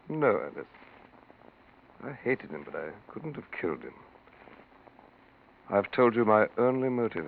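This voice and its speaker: A bitter, possessive man in love in a vintage radio melodrama. His intense delivery swings in pitch, through a narrow, thin old recording. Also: creaky. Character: bitter, possessive, lovesick brother-in-law. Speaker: actor. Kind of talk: 1940s radio acting. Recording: tinny, noisy 1940s radio recording.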